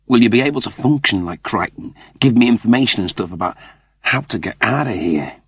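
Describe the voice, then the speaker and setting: A man's voice: fairly low pitch, lively intonation, brisk, breathy edge, no echo, studio quality. A man voicing a laid-back, stubborn male prisoner in a sci-fi story, talking bluntly and casually with cheek. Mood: urgent.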